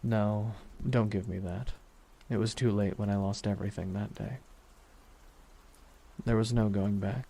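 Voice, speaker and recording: A man playing a brooding, grieving supervillain in a dramatic ASMR roleplay, speaking solemnly and haltingly with weighty pauses. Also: lowish voice, fairly even intonation, vocal fry. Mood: bitter, anguished. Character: brooding, grieving male supervillain saying farewell to his nemesis. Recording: loud music and rain behind. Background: music and falling rain.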